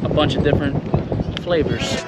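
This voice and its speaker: A young man speaking in a relaxed, matter-of-fact way with some dry humor, recorded outdoors on a fishing pier. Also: on the high side, normal speed, over loud music, rough recording. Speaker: vlogger's partner. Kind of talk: casual outdoor chat. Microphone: action camera.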